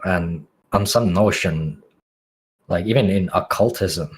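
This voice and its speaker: Deep-voiced man with a Finnish accent. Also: slow. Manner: musing, searching for words with many fillers and restarts. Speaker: podcast guest, music producer. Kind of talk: reflective podcast interview answers.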